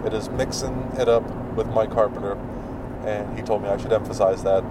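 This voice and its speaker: A man hosting his own podcast, with a deep, mumbling voice, rambling through plugs with lots of fillers while he drives. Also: fairly even intonation, brisk. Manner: rambling, filler-heavy, self-deprecating. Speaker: podcast host. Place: in a car while driving. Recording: distant mic with road noise.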